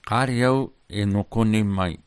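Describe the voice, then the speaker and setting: A man speaking model phrases for a language course, pronouncing each one carefully and deliberately. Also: fairly low pitch, smooth, no room sound, clean studio sound. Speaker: lesson dialogue speaker. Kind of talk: slow model phrases for learners.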